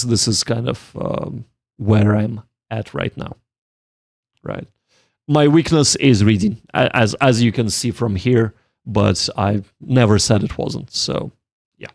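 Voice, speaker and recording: A man's voice: medium pitch, takes it slowly, vocal fry, pauses often, dry recording, studio quality. A man chatting casually on a study livestream, thinking aloud and reflecting on himself in a rambling way. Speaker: streamer learning Japanese. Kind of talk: casual livestream chat with study and game reading.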